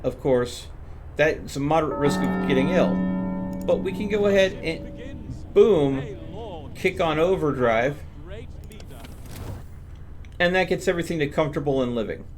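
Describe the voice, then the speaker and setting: A man's voice: a little high, lively intonation, a little slow, long pauses, soft background music. A man streaming a video game, explaining what happens on screen as he plays. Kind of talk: live gameplay commentary, explanatory.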